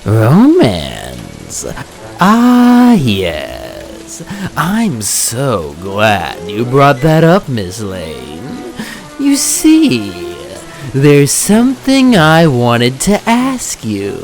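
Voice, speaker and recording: A man voicing a mysterious, suave suitor with a halting, courtly, coy manner in a comic-book dub. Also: medium pitch, huge pitch swings, vocal fry, full character voice. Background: music.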